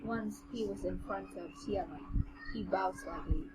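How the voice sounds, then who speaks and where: A woman's voice: medium pitch, a little slow. A woman co-hosting an amateur novel reading, moving between narration and casual commentary. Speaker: co-host reading a novel aloud. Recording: clearly noisy amateur home recording.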